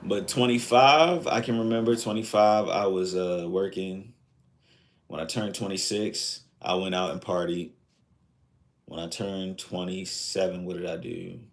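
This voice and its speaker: A man's voice: low-pitched, steady tone, measured pace. A man in his thirties chatting casually on a live stream, rambling and reflective, with lots of fillers. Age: 30s.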